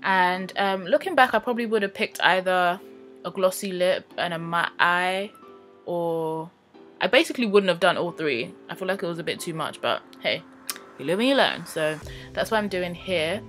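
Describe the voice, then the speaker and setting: A woman talking through her makeup tutorial in a relaxed, chatty voice-over with a slightly croaky, just-woken-up sound. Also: on the low side, moderate pace, faint music behind. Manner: relaxed, chatty, with many ums and likes. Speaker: beauty vlogger.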